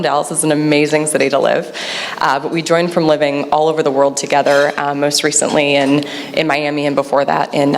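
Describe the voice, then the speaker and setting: A woman in her thirties introducing herself on a conference panel in a measured way with frequent uhs, speaking into a handheld mic in a large room. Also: low voice, creaky. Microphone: handheld microphone. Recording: long hall reverb, faint hiss.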